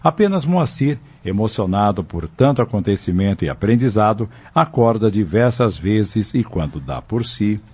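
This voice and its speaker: A man's voice: on the low side, sing-song, moderate pace, hardly any pauses, breathy edge. A man narrating an audio drama from a script. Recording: muffled lo-fi, loud music behind.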